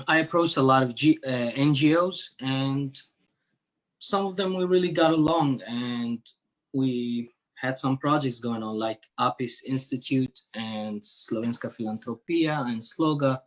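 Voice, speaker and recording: A man's voice: mid-range voice, low-quality recording. Syrian man answering in an interview. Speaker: interview guest, musician working with NGOs.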